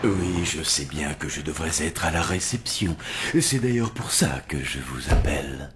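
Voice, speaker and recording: A man playing a formal, deferential zombie hotel servant in a French animated cartoon. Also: very deep voice, moderate pace, heavy background noise. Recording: loud music under the voice.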